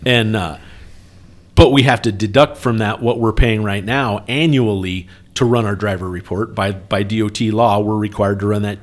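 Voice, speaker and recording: A man's voice: fairly low pitch, fairly even intonation, moderate pace. A man co-hosting a trucking company podcast, chatting casually in an earnest, explanatory way and often checking for agreement. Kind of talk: casual workplace talk with anecdotes.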